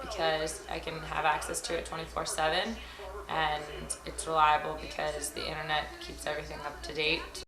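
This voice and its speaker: A woman in her early twenties answers briefly and matter-of-factly in a somewhat low voice. The room is echoey, with a crowd chattering clearly behind her. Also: little pitch movement, normal speed. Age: 20s. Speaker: interviewer and respondent. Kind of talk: casual interview.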